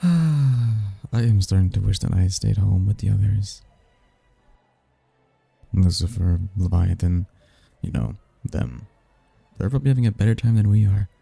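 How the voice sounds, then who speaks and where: An actor voicing a suave, flirtatious male demon in an ASMR roleplay, with a low, husky, gravelly voice and a teasing, smooth-talking manner. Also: expressive, measured pace, long pauses, dark tone, no echo, soft background music, heavily acted. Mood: bored, then intrigued.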